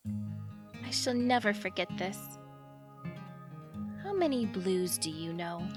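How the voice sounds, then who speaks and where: A woman's voice: medium pitch, lively intonation, slow, over music. A woman acting in audio theatre as an anxious, lovelorn young woman who rambles and questions herself fretfully. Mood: tender, grateful.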